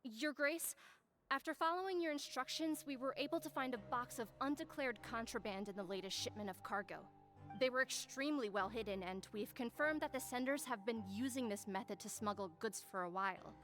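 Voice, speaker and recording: A woman's voice: on the high side, fairly quick, fairly bright tone, natural voice. A woman voicing a dutiful prison guard. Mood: formal. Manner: crisp formal report.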